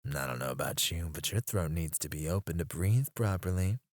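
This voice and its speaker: A man voicing a menacing male mercenary interrogator in an ASMR roleplay, speaking in a low, taunting way close to the mic, sometimes dropping to a whisper. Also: animated, fairly quick, dry recording.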